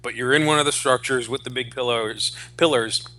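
A man's voice: medium pitch, fairly even intonation, brisk, pushed and bright. A man in his forties co-hosting a podcast, talking casually about geek culture with enthusiasm and plenty of swearing. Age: 40s. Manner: profane, enthusiastic. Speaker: podcast co-host.

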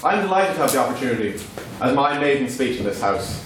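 A man whose first language is Irish, speaking in a declamatory, rhetorical way as he argues a debate motion. He is picked up from across a big hall, so his voice echoes heavily. Steady hiss sits under him. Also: medium pitch, fast. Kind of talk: formal debate speech. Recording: echoey hall, distant mic, noisy.

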